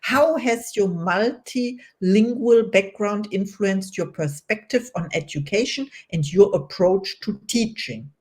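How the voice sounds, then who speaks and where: Woman podcast host, mid pitch, slow pace, hesitant, laughs often. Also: animated, a little mellow. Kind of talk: interview hosting.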